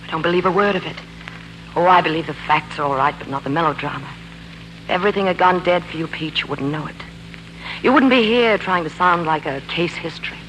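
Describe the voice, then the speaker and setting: A guarded American career woman, played by an actress, sceptical and no-nonsense, with a bright, forceful voice and clipped delivery. The old radio drama recording has a clear bed of noise and hum. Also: fairly low pitch, fairly quick, natural voice. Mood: sceptical, brisk. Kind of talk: 1940s radio acting. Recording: noisy, humming 1940s radio recording.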